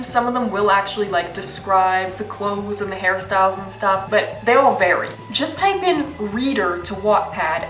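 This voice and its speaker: A woman's voice: mid-range voice, fairly bright tone, reverberant, far from the mic, rough recording. A young woman narrating a video essay in a casual, sarcastic and profane way.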